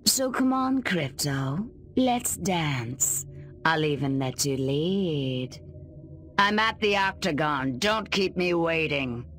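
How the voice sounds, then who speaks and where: A woman's voice: sits low, expressive, slow, a bit bright, a little hiss or hum, heavily acted. A woman playing a scornful, patriotic government agent villain in a video game, theatrical, taunting and sarcastic.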